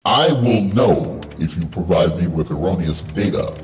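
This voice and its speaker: A man voicing a cold, deep robot overlord. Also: lively intonation, roomy sound, soft background music. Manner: cold, slow and menacing. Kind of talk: audio drama acting.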